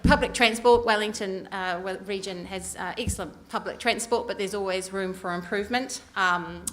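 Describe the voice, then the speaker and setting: A woman's voice: medium pitch, fairly bright tone. A middle-aged woman with a New Zealand accent giving an earnest election speech at a community meeting, with many ums and uhs. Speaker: council election candidate. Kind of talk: campaign speech. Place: community hall meeting room.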